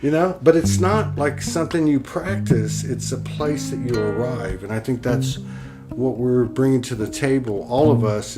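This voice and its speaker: A man hosting a casual spiritual chat, talking in a rambling, earnest way with many "like"s and some casual swearing. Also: mid-range voice. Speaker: host. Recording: guitar playing behind.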